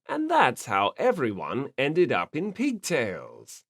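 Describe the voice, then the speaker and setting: A man's voice: mid-range voice, wildly swooping pitch, takes it slowly, vocal fry, no room sound, studio quality. A man reading scripted story narration as an audiobook narrator.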